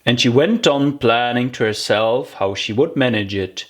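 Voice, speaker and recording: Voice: medium pitch, expressive, normal speed. An audiobook narrator reading a scripted children's story.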